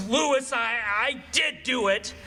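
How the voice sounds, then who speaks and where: Shrill, frantic guilty coward. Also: male voice, slow pace, bright, forceful tone, exaggerated voice. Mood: guilty pleading. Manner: frantic, stammering, pleading. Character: guilt-ridden cowardly male murderer, frantic and pleading. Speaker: actor in a stage radio play. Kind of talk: theatrical radio drama acting.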